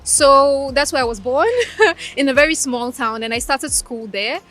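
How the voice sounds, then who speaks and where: A young woman from Ukraine, a native Russian speaker, talks about her studies in an articulate, measured way. Her voice sits a little high. She answers in an interview. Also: fairly bright tone. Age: 20s. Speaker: guest, law graduate.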